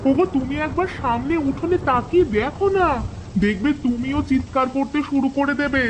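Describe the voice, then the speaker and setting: A woman's voice: high-pitched, brisk, a little hiss or hum, close to an everyday voice, rough recording. A woman playing a kind, hospitable village wife in a children's cartoon, speaking in an earnest, respectful way. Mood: excited.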